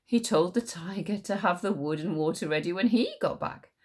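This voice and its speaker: A woman reading a story aloud as a teacher would, in an expressive storybook narration. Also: fairly low pitch, moderate pace.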